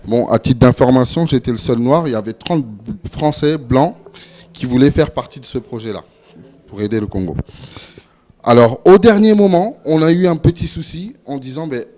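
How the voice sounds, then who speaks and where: A young man from France speaking on a discussion panel, halting and full of hesitations and repeated words. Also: mid-range voice, expressive, dark tone. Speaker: panel speaker, event organizer.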